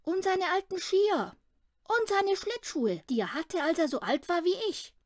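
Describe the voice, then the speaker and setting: Storybook little boy played by a woman: high-pitched, childlike, earnest. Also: animated, unhurried, no echo, studio quality. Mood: reluctant.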